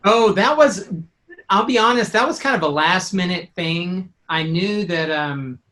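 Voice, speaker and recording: A middle-aged man telling an anecdote in an informal, halting way during a casual chat. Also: sits high, pushed and bright. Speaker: sound designer being interviewed.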